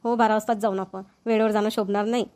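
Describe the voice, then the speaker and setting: A woman's voice: medium pitch, level tone, fast talker, very noisy, natural voice. A woman voicing a simple, agreeable middle-aged village mother in a cartoon, plain-spoken, with a Vidarbha Marathi accent. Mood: agreeable, homely. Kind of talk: amateur cartoon dialogue.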